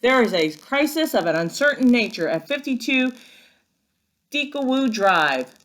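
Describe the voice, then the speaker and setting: A woman's voice: on the high side, sing-song, a little slow, fairly bright tone, voice pushed up. Woman voicing a bossy, emphatic older sister. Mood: urgent, commanding. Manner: emphatic, commanding. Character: bossy, opinionated older sister in a children's story.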